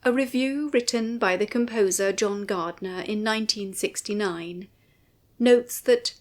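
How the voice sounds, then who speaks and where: A woman reads a prepared commentary as narrator. Her voice sits at a medium pitch for a woman. The delivery is formal and measured, with carefully shaped phrases. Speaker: writer and narrator of a music commentary. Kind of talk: scripted narration.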